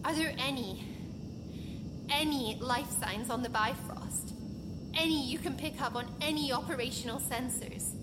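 High-pitched, distressed woman scientist. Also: measured pace, long reverb tail, very noisy. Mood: shaken, pained. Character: distressed, determined woman scientist alone on a derelict spaceship. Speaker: voice actor in lead role. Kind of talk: audio drama acting.

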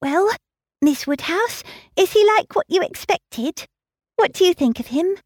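Woman doing a high, breathless young lady in an audiobook, lively swooping voice, dry close sound. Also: measured pace, no echo. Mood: excited. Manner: breathless and gushing. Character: eager, fluttery young woman, easily impressed.

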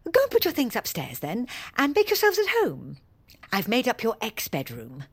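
A woman's voice: on the high side, huge pitch swings, normal speed, breathy edge, exaggerated voice. An actress playing a fussy, chirpy middle-aged British mother welcoming guests in an audio drama, with a sing-song, polite delivery. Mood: bright, welcoming. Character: chirpy fussy middle-class mother hosting her grown daughter.

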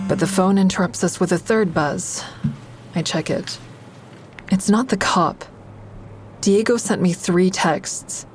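A woman's voice: on the low side, slight background noise, lightly acted. An actress playing a guarded, wry woman who narrates her troubled romance in an intimate, confiding audio drama style. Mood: concerned, introspective. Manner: confiding, dry, introspective.

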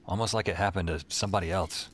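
A man's voice: low voice, steady tone, quick pace, fairly bright tone. An actor playing a wry, self-deprecating young man who narrates his bewildering adventures in an audio drama. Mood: reminiscing. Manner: casual, wry, colloquial. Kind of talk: audio fiction acting with first-person narration.

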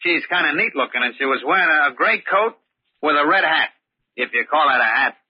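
A man's voice: on the high side, animated, a little fast, breathy edge. An actor playing a cocky, impatient young delivery boy with a brash, slangy manner in an old-time radio drama. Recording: muffled old radio recording.

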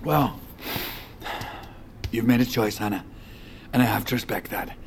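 Wealthy male boss, authoritative, smooth, controlling, audio drama, faint background noise. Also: mid-range voice. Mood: measured. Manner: measured, authoritative, condescending.

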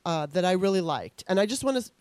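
A blunt, animated woman hosts a loose political chat and swears without a second thought. Her voice is close and dry, with almost no room sound. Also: fairly low pitch, quick pace, no echo. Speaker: host. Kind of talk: casual political discussion.

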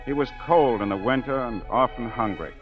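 An actor playing a solemn storyteller who narrates deliberately in the scripted style of 1940s radio drama. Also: male voice, mid-range voice, lightly acted. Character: smooth, solemn male storyteller recalling a tragic tale. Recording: dull old 1940s radio broadcast. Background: music.

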